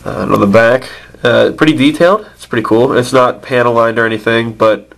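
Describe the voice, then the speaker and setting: A man's voice: mid-range voice, fairly quick, vocal fry, a bit bright. A man with a stuffed-up nose from a cold, casually reviewing an action figure with many fillers and restarts. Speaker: toy reviewer.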